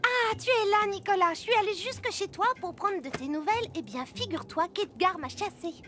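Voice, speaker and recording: Very high, small chatty girl. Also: fairly quick, over music. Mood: chatty, concerned. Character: small, chatty, frank female school friend. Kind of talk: French cartoon dubbing.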